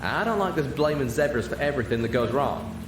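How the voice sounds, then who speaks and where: Man as a raised-pitch Southern farm mare. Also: expressive, quick pace, roomy sound, distant mic, background noise, lightly acted. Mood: warning. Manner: folksy, insistent. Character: frank, folksy female farm pony.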